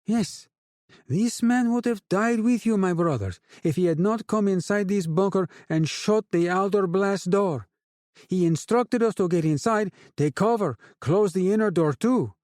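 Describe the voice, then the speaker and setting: A man's voice: sits high, a little slow, a little mellow, dry recording, studio quality, pitched above the performer's own voice. A man voicing a young, oily male drug lord with a Spanish accent who speeches to his men in a falsely friendly, rousing way.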